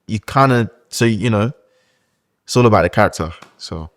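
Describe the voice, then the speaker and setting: A man's voice: lowish voice, animated, moderate pace. A young man, a guest talking candidly and hesitantly in a casual podcast chat, often restarting his sentences.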